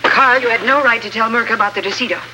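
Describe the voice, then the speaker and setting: Guarded, low-voiced woman archaeologist, bright. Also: fast, low-quality recording. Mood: guarded, composed. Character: guarded, businesslike female archaeologist plotting a desert revolt. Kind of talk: 1950s radio drama acting. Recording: vintage 1950s radio recording, faint noise.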